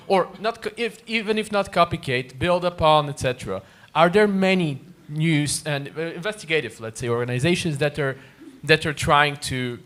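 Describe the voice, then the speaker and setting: A man from Bulgaria puts a question to a panel from the floor, restarting and repeating words as he goes. His voice sits a little high for a man. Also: fairly bright tone. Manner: hesitant, repeating words while searching. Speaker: audience member asking a question.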